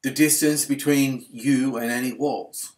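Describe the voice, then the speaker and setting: Male yoga nidra instructor, mid-range pitch, soothing and unhurried, gentle guided-meditation delivery. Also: fairly even intonation.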